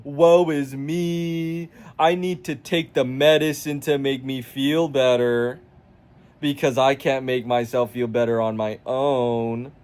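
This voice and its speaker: A man's voice: medium pitch, fairly even intonation, a little mellow, smooth, lightly acted. A young man mimicking a whiny, self-pitying guy. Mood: mock whining.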